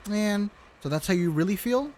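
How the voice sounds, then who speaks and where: Casting: female game streamer, mid-pitched, lively swings in pitch, informal reactions and chat with viewers. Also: normal speed, heavy background noise. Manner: animated, informal, swears, chats to viewers. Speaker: livestreamer. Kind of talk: casual game stream commentary.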